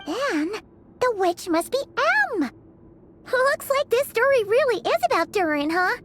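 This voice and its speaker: A woman voicing a small, childlike female sprite companion in a video game, bubbly, curious and excitable. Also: very high-pitched, unhurried, faint music behind, full character voice.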